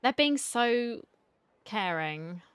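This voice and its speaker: A woman's voice: fairly high pitch, animated, drawn-out words, fairly bright tone, clean tone, dry recording. A woman giving casual, wry reaction commentary over gameplay in an informal way. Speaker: gaming content creator.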